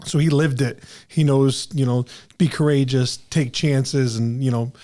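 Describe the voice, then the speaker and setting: A man of middle pitch with a mellow, warm tone, hosting an interview. He rambles and restarts often, leaning on "you know" as he works toward his question. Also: steady tone, measured pace. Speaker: podcast host. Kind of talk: casual interview chat.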